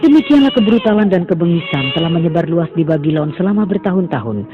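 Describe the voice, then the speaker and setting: A man narrating a cartoon story in a steady, dramatic storytelling manner. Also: a little high, fast talker. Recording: tinny phone-like sound under music.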